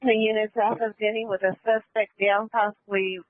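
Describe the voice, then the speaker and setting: A woman's voice: mid-range voice, flat delivery, normal speed, dry recording. Tinny dry radio signal, female police dispatcher, clipped procedural delivery, repeated instructions.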